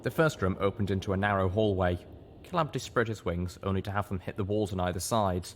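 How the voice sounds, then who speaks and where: A man narrating a fan-written novel aloud as an audiobook, in a steady, earnest reading. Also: low voice, fast, slight background noise. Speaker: audiobook reader.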